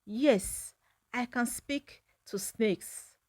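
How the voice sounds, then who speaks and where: Woman as earnest young village girl, slow-spoken. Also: fairly high pitch, a little mellow, no room sound. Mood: hushed, hurt.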